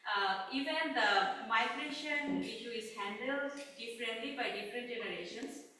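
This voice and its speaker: A woman in her thirties with a Bangladeshi accent, speaking from the audience of a lecture. Her voice is bright and fairly high, and she talks haltingly with many filler sounds. She is heard from far off in a very echoey room with faint noise behind her. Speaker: audience member asking a question. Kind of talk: question at an academic Q&A.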